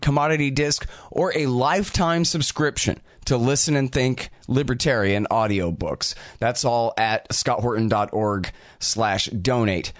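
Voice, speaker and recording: A man hosting a talk show, chatting loosely with fillers and quick asides. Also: mid-range voice, no echo, studio quality. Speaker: podcast host. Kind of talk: casual interview talk.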